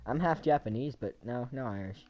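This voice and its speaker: A man chatting casually and profanely while playing a game, with sudden loud outbursts. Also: mid-range voice, expressive, a little fast. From United States. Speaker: gaming video creator. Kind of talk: casual gameplay chat.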